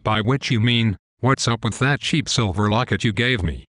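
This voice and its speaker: Computer man voice doing a snarky husband in a cartoon, flat, lowish, dry sound. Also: moderate pace, no echo. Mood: sarcastic. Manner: flat, sarcastic. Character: bickering middle-aged husband in pilgrim hat. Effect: text-to-speech voice. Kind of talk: animated comedy dialogue.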